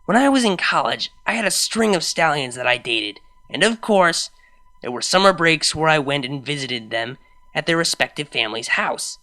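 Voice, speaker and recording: A brash young unicorn mare, played by a reader, tells her own story with a very bright, forceful edge. She is wry and confiding, chatting to the listener like a friend in a storybook reading, expressive and openly fond of her partner. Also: medium pitch, normal speed. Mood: exasperated, animated. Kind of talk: first-person fan fiction reading, conversational.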